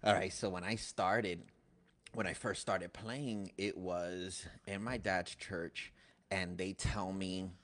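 Cast: man in his 30s, low-pitched co-host, animated storyteller, laughs often, studio boom mic. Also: slow pace. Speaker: podcast co-host, church musician. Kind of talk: casual chat. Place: small indoor studio set. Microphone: boom-mounted studio mic.

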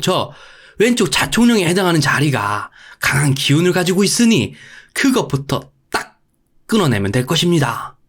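A man's voice: on the high side, fairly bright tone, slightly rough, pitched above the performer's own voice, full character voice. A man voicing a sly, money-minded Buddhist monk in a folktale, speaking in a knowing, insinuating way.

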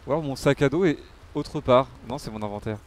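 A man's voice: medium pitch, fairly quick. A man giving casual live game commentary, muttering to himself and swearing freely. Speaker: game streamer.

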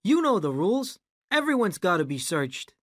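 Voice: big pitch swings, normal speed, dry recording, studio quality, natural voice. A reader voicing a bossy adult male film director who barks orders in a raised, commanding voice. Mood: impatient. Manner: loud, commanding, raised pitch. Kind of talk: audiobook character voice.

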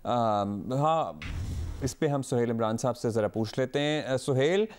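A male news anchor talks in a relaxed, conversational way from a television studio, dropping English phrases into his sentences. His pitch stays in a plain middle range. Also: a little slow.

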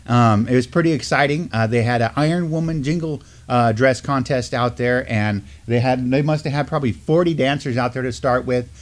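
A man's voice: medium pitch, fairly quick, few pauses. Middle-aged American man hosting community news. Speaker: host of a community news show. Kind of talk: casual news chat. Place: indoor studio, seated at a desk.

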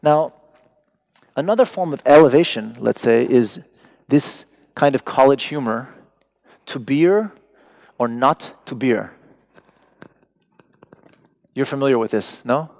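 A man whose mother tongue is Persian gives a conversational public lecture in English from a stage, searching for words and stressing them deliberately. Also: mid-range voice, gravelly, a little mellow. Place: large dim hall with stage and seated audience.

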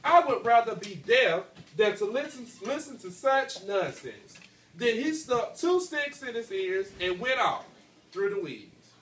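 A man's voice: sits high, big pitch swings, measured pace, fairly bright tone. A man in his thirties reading aloud to a class as their teacher, often stopping to check they understand. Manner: checks understanding often, tagging phrases with okay. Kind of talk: classroom read-aloud with questions to pupils. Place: school classroom, indoors. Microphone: phone held by someone across the room. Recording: echoey noisy classroom from a distance, phone recording.

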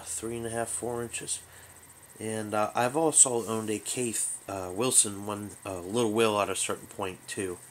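A man hosting a hobby show, giving a casual product overview in a halting, rambling, unscripted way. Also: fairly low pitch, slight background noise. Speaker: host of a hobby radio show. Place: small bedroom, indoors.

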